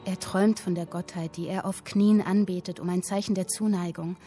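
An actress playing a captive young woman who recites a dark literary tale in a solemn, measured manner for a radio play. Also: fairly low pitch, steady tone, natural voice. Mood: brooding, solemn. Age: young adult. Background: music and typewriter.

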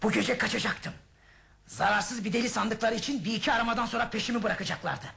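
A young male impostor in a radio play, with a very creaky voice pitched unusually light for a man. The schemer speaks with cool, resigned candour. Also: fast talker, pushed and bright. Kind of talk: Turkish radio theatre acting.

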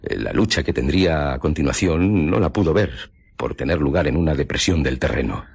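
Very deep, husky police investigator. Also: male voice, lively intonation, normal speed, heavily acted. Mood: grave, measured. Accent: Spain. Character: grave male police investigator recounting a murder. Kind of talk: Spanish radio drama acting.

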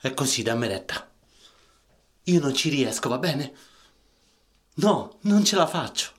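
A man playing a troubled, rough-talking Roman in a radio drama, with a Roman Italian accent and colloquial, emphatic delivery. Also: mid-range voice, big pitch swings, measured pace, fairly bright tone. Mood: anguished. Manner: colloquial, emphatic, short bursts of questions.

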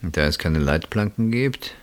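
A man's voice: sits low, lively intonation, normal speed. An actor in a German radio play, playing a suspicious husband who confronts his sister-in-law with insistent, dramatic questioning. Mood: cold, insinuating.

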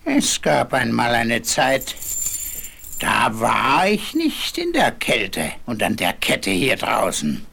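An actor playing a grumpy, boastful old chained dog who reminisces in a children's fairy-tale radio play, with a husky, breathy voice. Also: male voice, medium pitch, wildly swooping pitch, pushed and bright, full character voice. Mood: nostalgic, proud. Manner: slow, drawn-out, grumbling reminiscence.

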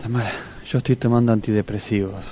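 A man's voice: lowish voice, fairly even intonation, fast talker, dark tone, slight background noise, natural voice. An actor playing a simple, earnest, complaining Argentine man in a comic radio play, rambling colloquially. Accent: Argentine, Rioplatense.

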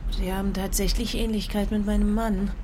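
Woman playing posh curious lady in a radio drama, normal pitch, blunt and a bit romantic, some noise behind. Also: little pitch movement, a little fast, natural voice. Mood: intimate, bold. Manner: probing, direct questions, polished speech. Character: curious, forthright, romantic well-bred lady of the 1930s. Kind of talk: German radio play acting.

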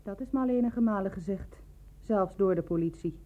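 A woman in a radio drama playing a lonely, bereaved woman, coolly probing and insinuating in measured, clipped phrases. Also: mid-range voice, warm tone, natural voice. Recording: narrow, dull lo-fi radio play recording.

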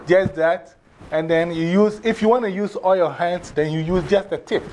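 Male teacher, Ghanaian accent, high voice, directive and repetitive, informal classroom delivery, faint noise behind. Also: moderate pace. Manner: directive, repeats instructions. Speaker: teacher of a drumming and English class.

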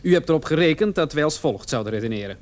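A man acting a shrewd, persistent police officer in a radio play, questioning a suspect in a measured, probing way. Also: on the high side, animated, natural voice. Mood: probing, pointed.

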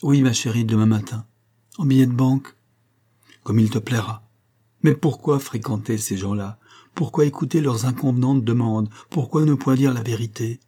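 A man voicing a gentle, anxious old banker who dotes on his daughter, in an audiobook story. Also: mid-range voice, normal speed, natural voice. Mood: tender, pleading. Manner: tender, earnest, pleading.

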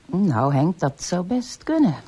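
A woman's voice: on the low side, sing-song, a little slow, dark tone, slight background noise. An actress playing a keen, wry policewoman with an informal, teasing manner in a radio play. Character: wry, keen young policewoman assisting the inspector. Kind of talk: radio drama acting.

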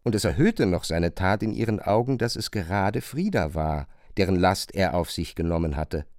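A man narrating a literary radio play from a script, in measured, carefully phrased long sentences on a close studio microphone. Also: low voice, lively intonation, darkish tone, dry recording.

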